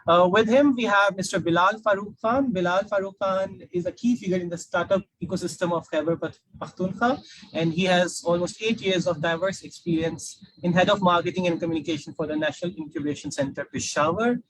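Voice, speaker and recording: A man with a Pakistani accent, politely and somewhat haltingly moderating an informal live session as host. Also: high-pitched, fairly bright tone, slightly rough, low-quality recording. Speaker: panel host, alumni outreach officer.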